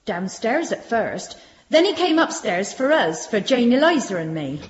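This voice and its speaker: A nervous servant in a Victorian radio drama. Her voice is fairly high and very changeable in pitch, meek and earnest toward her betters. The sound is roomy and a little dull. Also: big pitch swings, moderate pace. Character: nervous, deferential Victorian servant woman testifying, a cook. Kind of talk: British radio drama acting. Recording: muffled, echoey room recording.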